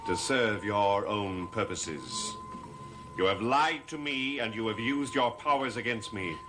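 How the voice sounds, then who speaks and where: An actor playing the brooding, resolute master of a cursed house in a gothic soap opera, speaking in a deliberate, commanding, dramatic manner. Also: male voice, on the low side, over music, lightly acted. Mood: scornful.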